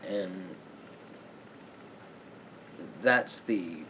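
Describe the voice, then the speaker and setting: A man speaking softly as a therapist in an ASMR roleplay, in a measured, halting, explanatory way. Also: sits low, a little hiss or hum, low-quality recording.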